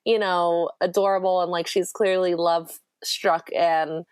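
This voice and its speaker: A woman talks casually on a podcast, pausing and hedging with frequent fillers. Her voice sits fairly low, and the recording is dry with no room echo. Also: flat delivery, darkish tone, clear, resonant tone. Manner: hesitant, lots of "like". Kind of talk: casual chat.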